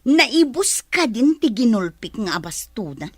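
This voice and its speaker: A woman playing a mean, quick-tempered stepmother, scolding indignantly in an Ilocano accent in a radio drama. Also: on the high side, big pitch swings, dry recording, studio quality. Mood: defensive, indignant.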